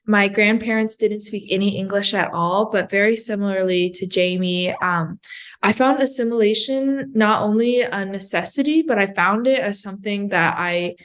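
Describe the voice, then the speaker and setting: A woman's voice: medium pitch, normal speed, fairly bright tone. A woman with an American accent, a podcast guest talking conversationally about her own experience. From San Francisco, United States. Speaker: podcast guest, health educator. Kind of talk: conversational personal storytelling.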